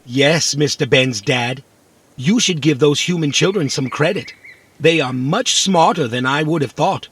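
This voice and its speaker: A man's voice: a little high, a little slow, a bit bright, slightly rough. A man playing a naive, opinionated, literal-minded little robot in a children's audio drama. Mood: earnest, opinionated. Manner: literal-minded, matter-of-fact, cheeky.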